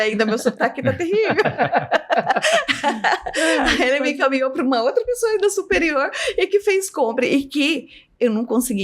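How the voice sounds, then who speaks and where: A middle-aged woman, a business owner telling stories as a podcast guest, her voice leaping up high as she laughs through anecdotes. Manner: lively storyteller, voice jumps up high in anecdotes, laughs often. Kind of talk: casual storytelling interview.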